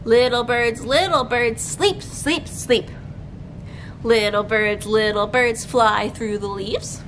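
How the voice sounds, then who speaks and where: A young woman with a fairly high voice reads to little children as a storytime librarian. She takes her words very slowly, phrasing them deliberately and articulating each one. A light low rumble sits behind her in the library room. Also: fairly bright tone. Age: young adult. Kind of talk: read-aloud picture book storytime for young children. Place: library room with bookshelves, indoors.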